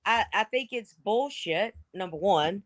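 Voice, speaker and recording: A woman's voice: medium pitch, animated, a little slow, noisy background. A woman guest on a casual political call-in show, blunt and emphatic, counting off her points.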